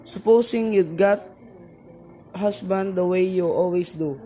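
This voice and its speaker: A low, very mellow voice plays an impatient police sergeant, acted by a woman, brusque and condescending in radio drama style. There is faint noise behind it. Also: measured pace, close to an everyday voice, poor audio. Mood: skeptical, condescending. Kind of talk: radio play acting.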